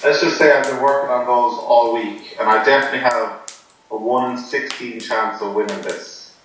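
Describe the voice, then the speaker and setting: Deadpan joking man, echoey room. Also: mid-range voice, normal speed. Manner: deadpan, jokey, rambling with ums. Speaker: poker player being interviewed. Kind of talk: joking casual chat. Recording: echoey room, voice from far off, faint noise.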